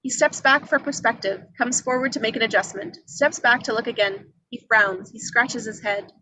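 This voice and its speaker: A woman's voice: a little high, normal speed, sharp tone, slight background noise, low-quality recording. A woman reading out scripted scene descriptions and stage directions as a narrator. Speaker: reader of action descriptions.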